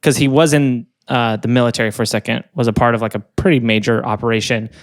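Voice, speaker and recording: A man co-hosts a podcast, telling a story in loose casual chat. He halts often and restarts his sentences, with fillers throughout. His voice sounds close and dry, with no room echo and pitch in a middle range. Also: steady tone. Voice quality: halting, full of fillers and restarts. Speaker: podcast co-host.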